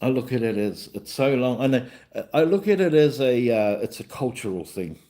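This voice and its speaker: A man's voice: mid-range voice, lively intonation, moderate pace, mellow. A man with a New Zealand accent hosting a casual livestream chat in an easygoing, rambling way, often repeating himself. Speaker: livestream host and vlogger.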